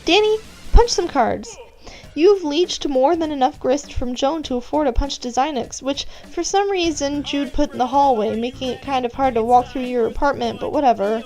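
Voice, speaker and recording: A woman's voice: high-pitched, moderate pace, a little mellow, poor audio. A woman reading scripted second-person story narration, addressing the listener as the character. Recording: music playing behind. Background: background music.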